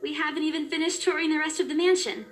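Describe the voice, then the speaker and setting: A woman's voice: high voice, steady tone, quick pace, lightly acted. A woman voicing a polite, flustered young female estate agent in a visual novel game, hesitant and deferential. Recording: music playing behind the voice.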